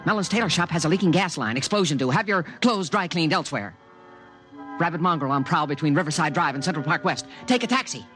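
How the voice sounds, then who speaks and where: A man's voice: fairly high pitch, lively intonation, quick pace, fairly bright tone. A man playing an earnest, fussy alien guardian in a 1950s radio drama, speaking in precise, formal, solemn pronouncements. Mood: urgent warning. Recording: vintage radio recording, faint music.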